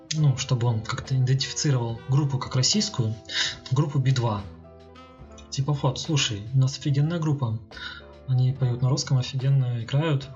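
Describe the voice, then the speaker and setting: A man's voice: medium pitch, darkish tone. A man co-hosting a casual discussion, arguing his point while thinking aloud. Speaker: podcast co-host. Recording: muffled sound with music behind. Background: music.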